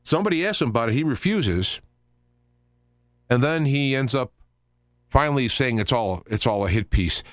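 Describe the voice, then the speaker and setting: A man hosting a live talk radio show, giving unscripted commentary in a rambling, halting way with stops, starts and digressions. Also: mid-range voice, animated, no echo, studio quality.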